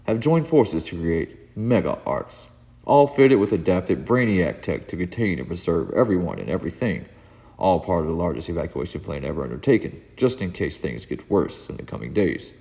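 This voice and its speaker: A man narrating a comic book read-along in a dramatic, solemn, theatrical way with deliberate pauses. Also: deep voice, very animated, gravelly, dark tone. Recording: long hall reverb.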